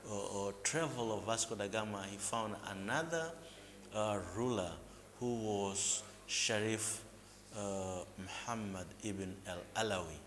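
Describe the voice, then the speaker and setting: A man from Mozambique, explaining local history as an interview guest in a measured, lecturing way, with hesitant fillers and restarts. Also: fairly low pitch, pushed and bright. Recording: reverberant room with faint background noise.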